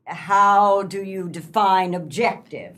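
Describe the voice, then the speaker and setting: A woman's voice: medium pitch, slow pace, a bit bright. An actress in a radio play as a scowling, intimidating lady novelist, curt, cold and withering.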